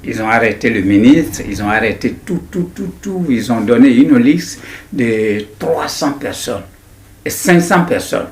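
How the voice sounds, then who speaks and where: A man in his nineties with an Ivorian accent, recounting his memories in an interview in a deliberate, halting way with repetitions. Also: mid-range voice, expressive, a little mellow. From Ivory Coast. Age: 90s. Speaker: interviewee, writer. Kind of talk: personal recollection in an interview.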